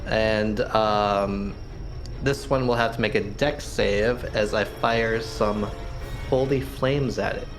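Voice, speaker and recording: A man's voice: fairly low pitch, fairly even intonation, slow pace, low-quality recording. A man chatting casually as a player in a tabletop roleplaying game. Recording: loud music under the voice. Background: loud background music.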